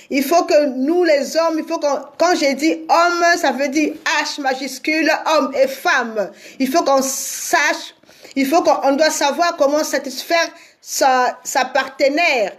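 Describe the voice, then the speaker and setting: Woman, high voice, slow deliberate delivery, congested from a cold, sore throat, repeats words for emphasis, advice talk. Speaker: marriage counselor. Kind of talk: live-streamed advice talk.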